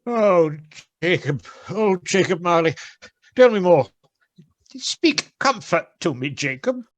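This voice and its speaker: An actor playing a crotchety, skeptical old miser in a radio play, with a querulous, theatrical delivery. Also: male voice, high voice, animated, slow pace. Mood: terrified, pleading.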